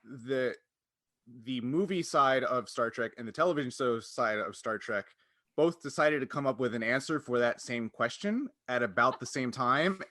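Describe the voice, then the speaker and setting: Guy podcast host, bright voice, super close dry sound, explains stuff casually w lots of likes. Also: medium pitch, normal speed, dry recording. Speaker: podcast host and game master.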